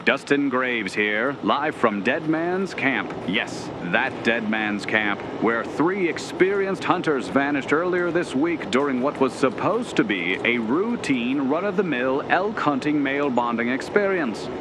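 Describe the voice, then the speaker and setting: Radio spoof role: man as showy on-scene reporter, mid-pitched, folksy, punchy, amid noisy outdoor-style ambience. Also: animated, measured pace, hardly any pauses, lightly acted. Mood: breezy, showy. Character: breezy, folksy male field news reporter. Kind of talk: parody live news report.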